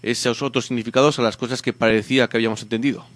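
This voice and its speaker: Flat-voiced man, thoughtful and halting. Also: mid-range voice, no echo. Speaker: author. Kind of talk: conversational interview.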